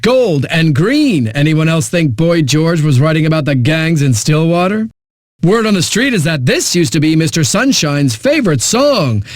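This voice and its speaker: An actor playing a cocky, flippant eighties-hits radio DJ in a video game, with smug, punchy announcing and dramatic pauses. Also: male voice, sits high, no echo, studio quality. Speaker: radio DJ, host. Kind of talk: 1980s music radio DJ patter.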